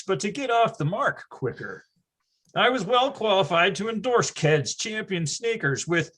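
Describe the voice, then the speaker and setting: A man's voice: sits high, a bit bright, heavily acted. A man playing a wry, nostalgic grown-up storyteller recalling his boyhood with florid emphasis in a readers theatre performance. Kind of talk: dramatic first-person narration in readers theatre.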